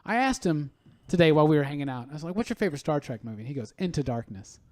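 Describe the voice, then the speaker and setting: Male podcast host, high-pitched, casual animated storyteller. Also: rapid-fire. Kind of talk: casual panel chat.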